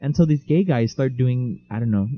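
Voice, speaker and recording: A man's voice: medium pitch, expressive, moderate pace. Young man rambling on a lo-fi podcast. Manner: rambling, jokey storytelling with mocking voices. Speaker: podcast co-host. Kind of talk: casual chat. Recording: dull lo-fi mic.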